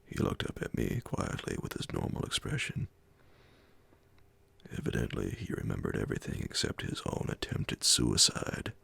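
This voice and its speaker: A man reading classic horror fiction as an ASMR audiobook, in a hushed, measured, breathy and gravelly voice. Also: extremely low pitch, wildly swooping pitch. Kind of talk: ASMR audiobook scripted narration.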